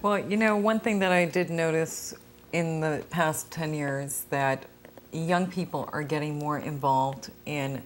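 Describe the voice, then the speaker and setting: A woman from the United States hosting a TV interview show, encouraging and measured, with some fillers. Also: low-pitched, a little hiss or hum. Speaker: TV show host.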